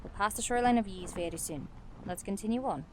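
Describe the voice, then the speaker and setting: A woman playing a measured, dignified ranger leader who speaks deliberately and formally in a fantasy audio drama. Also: medium pitch, a little mellow, slight background noise, natural voice. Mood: thoughtful, practical.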